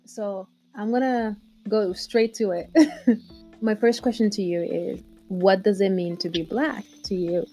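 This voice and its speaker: A woman's voice: mid-range voice, steady tone, unhurried, dark tone, faint music behind, low-quality recording. A young woman hosting an interview show, friendly and casual, answering with brief affirming replies. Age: young adult. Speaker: host.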